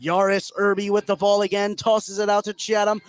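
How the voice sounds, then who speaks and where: A high-voiced male sports commentator calls a basketball game as it happens. He is excitable and leans hard into the big moments. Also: brisk, clear, resonant tone, dry recording. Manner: excitable, emphatic on big plays. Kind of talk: live basketball play-by-play commentary.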